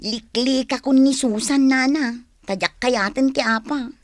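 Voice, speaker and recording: A woman's voice: high-pitched, a little slow, dry recording, clean studio sound. A woman playing a giggly, sheepish neighbour with a hesitant drawl in a Philippine radio comedy-drama. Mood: puzzled.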